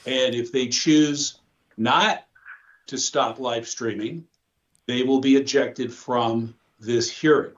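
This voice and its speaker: A man presiding over a hearing, making formal procedural announcements in a halting, deliberate way, on lo-fi playback. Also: mid-range voice. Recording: dull lo-fi audio played through a phone.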